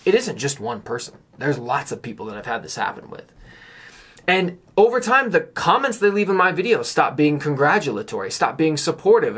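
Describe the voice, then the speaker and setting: A slightly hoarse man giving a candid, earnest vlog monologue, speaking emphatically as someone a little under the weather. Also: on the high side, fairly bright tone. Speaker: YouTuber on real estate investing.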